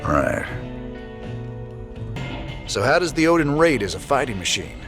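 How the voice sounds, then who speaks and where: An actor playing an easygoing, gruff rebel commander in a video game, gravelly and drily folksy. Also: male voice, mid-range voice, very animated, a little slow. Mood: friendly. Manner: folksy, dry, familiar. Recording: loud music behind the voice.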